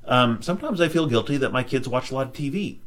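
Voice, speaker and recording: A man's voice: medium pitch, animated, quick pace, a bit bright. Reflective man hosting a podcast. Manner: reflective, frequent restarts and "you know". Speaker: podcast host. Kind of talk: casual conversation.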